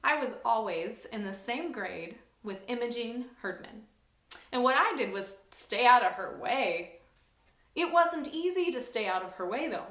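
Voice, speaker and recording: A woman from a church staff reading a children's book aloud in an expressive, lively storytelling manner. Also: on the high side, a little slow. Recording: roomy echo.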